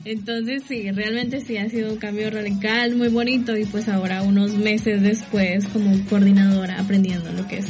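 A young woman from El Salvador, soft-spoken and earnest, telling her story as a guest. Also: medium pitch, level tone, moderate pace, few pauses, over music, poor audio. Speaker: youth group coordinator. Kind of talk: casual interview answers.

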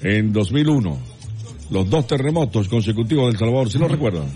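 A man's voice: medium pitch, animated, fairly quick, mellow. Man, live radio host, festive, exclamatory, teasing, callers on air, music in background. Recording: music behind the voice.

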